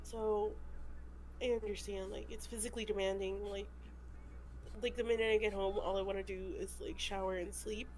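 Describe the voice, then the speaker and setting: A woman's voice: mid-range voice, little pitch movement, normal speed, darkish tone, low-quality recording. Congested young woman chatting casually. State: congested from flu. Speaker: podcast co-host. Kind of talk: casual chat.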